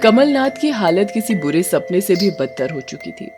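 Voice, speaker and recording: A woman narrating a scripted horror story in a dramatic, suspenseful way, slowing for emphasis. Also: fairly low pitch, big pitch swings. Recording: loud music under narration.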